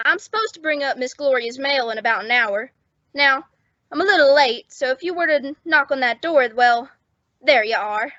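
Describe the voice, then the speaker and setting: A woman's voice: sits high, animated, moderate pace, bright, forceful tone, dry recording. A woman playing an eager, awkward, smitten young bellboy with a flustered, hesitant manner in old-time radio comedy style. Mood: smitten, helpful. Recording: dull lo-fi close dry recording.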